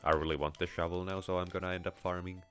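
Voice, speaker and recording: A man's voice: deep voice, level tone, fast talker, a bit bright, soft background music. A man with a Finnish accent, mixed with American and a little Russian, giving casual, rambling gameplay commentary with wry asides and offhand swearing. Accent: Finnish, with American and slight Russian touches. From Finland. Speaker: let's play streamer.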